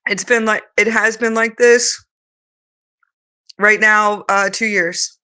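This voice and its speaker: A woman's voice: mid-range voice, steady tone, slow pace, sharp tone, smooth. A woman channeling in light language, chanting flowing rhythmic syllables between casual remarks, her voice sounding changed and strained. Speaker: spiritual channeler.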